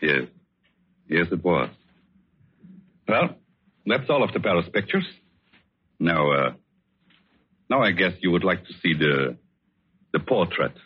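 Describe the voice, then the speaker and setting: Deep brooding painter, old radio. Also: male voice, very animated, breathy edge, exaggerated voice. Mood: controlled, courteous. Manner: measured, theatrical, hesitant pauses. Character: vengeful, obsessed male painter feigning tender devotion. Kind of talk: 1940s radio suspense acting. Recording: dull old radio recording, music behind.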